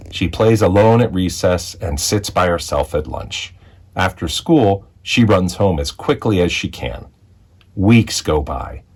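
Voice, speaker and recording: Animated low-voiced man reading a storybook. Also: slightly rough. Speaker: read-aloud storyteller, school executive. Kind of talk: expressive children's picture book narration.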